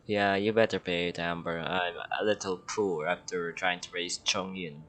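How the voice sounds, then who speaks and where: A man with a very deep voice commenting on a video game as he plays. He tosses out short, offhand quips and swears now and then. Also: fairly even intonation, normal speed, fairly bright tone. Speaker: gamer playing the game. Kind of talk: casual let's-play commentary.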